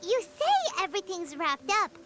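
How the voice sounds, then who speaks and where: A woman voicing a small, excitable, childlike fairy girl companion in a video game, with an indignant streak. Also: very high-pitched, moderate pace, very noisy, full character voice. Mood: concerned. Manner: childlike, indignant, refers to herself by name.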